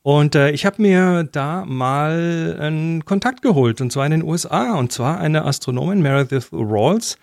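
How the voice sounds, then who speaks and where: A man's voice: on the high side, animated, moderate pace, gravelly, hardly any pauses, dry recording, clean studio sound. A man co-hosting a casual tech podcast, playful and teasing. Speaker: podcast co-host. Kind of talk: casual chat.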